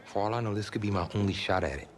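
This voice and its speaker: A man's voice: fairly low pitch, very fast, natural voice. A man playing a proud, defensive young college quarterback in a naturalistic video game cutscene. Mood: sullen. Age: young adult. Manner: defensive, argumentative.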